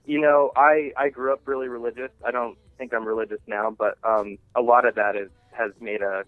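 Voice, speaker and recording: A man giving a casual radio interview as a musician, talking informally and haltingly, working out each thought as he goes. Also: medium pitch, flat delivery, no room sound, soft background music. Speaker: interviewed singer-songwriter and music teacher. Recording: thin phone-line sound.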